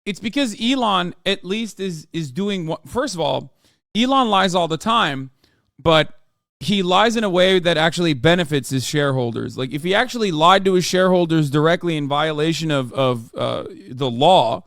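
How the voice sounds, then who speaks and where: A man talks loosely and bluntly over a game stream, swearing and circling back on his points. His voice sits a little high, and the desk mic sounds dry and close, with no room around it. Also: animated, moderate pace, gravelly, a bit bright, no echo. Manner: blunt, profane, rambling. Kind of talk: casual commentary while gaming.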